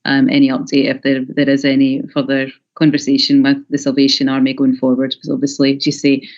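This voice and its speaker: A local councillor making measured, diplomatic remarks in a formal meeting, choosing words hesitantly. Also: mid-range voice, completely flat delivery. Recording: muffled video-call audio.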